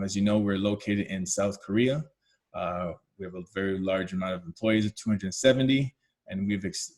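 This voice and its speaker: A Canadian man speaking at a fairly low pitch walks listeners through a product webinar. His tone is explanatory and loose, with many ums and uhs along the way. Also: a little mellow. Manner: explanatory, many "um" and "uh" fillers, self-corrections. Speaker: technical team lead presenting a product webinar. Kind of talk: webinar presentation.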